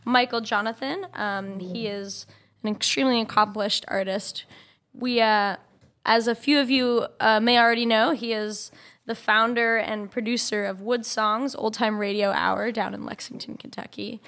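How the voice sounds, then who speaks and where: A woman co-hosting a podcast, speaking informally and a little haltingly. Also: a little high, steady tone, fairly bright tone. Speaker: podcast co-host. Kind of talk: casual live introduction and chat.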